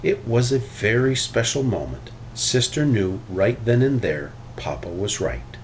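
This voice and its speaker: Man, deep-ish voice, animated children's story narration, expressive, faint noise behind. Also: measured pace, poor audio. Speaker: storybook reader. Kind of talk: reading a children's story aloud.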